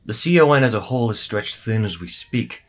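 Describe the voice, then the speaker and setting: A low-pitched, easygoing military man on checkpoint duty, acted by a man. He is matter-of-fact and casual, explaining things a little hesitantly in the manner of an audio roleplay. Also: expressive, a little fast.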